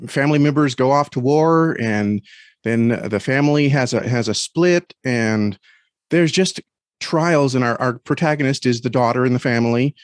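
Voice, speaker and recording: A man with a mid-range voice speaks as a podcast guest in a dry recording. He is earnest and reflective, feeling his way haltingly through casual conversation. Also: medium pitch, no echo. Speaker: podcast guest and story critic. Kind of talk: casual interview talk.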